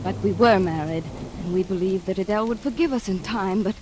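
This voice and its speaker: A woman's voice: medium pitch, a little fast, heavy background noise, natural voice. An actress in a 1940s radio drama playing a haunted, wistful woman, dreamy and hesitant as she confides her memories. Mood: wistful, recounting. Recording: vintage radio recording with music behind.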